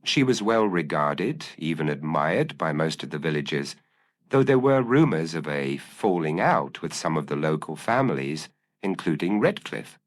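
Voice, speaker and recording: A man voicing an earnest, anxious village constable who speaks formally and uneasily in an audio mystery. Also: a bass voice.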